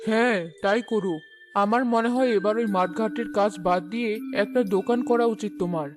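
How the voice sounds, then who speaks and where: Cartoon role: practical older village wife played by a woman, coaxing, medium pitch, music bed. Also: fairly quick, lightly acted. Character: gentle, practical ageing village mother and wife. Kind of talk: Bangla cartoon story dialogue.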